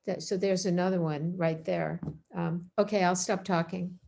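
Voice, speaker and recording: A woman's voice: lowish voice, fairly even intonation, normal speed, gravelly, slight background noise. Chatty woman on a video call. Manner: warm-hearted, chatty, self-interrupting. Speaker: panelist. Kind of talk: casual panel discussion.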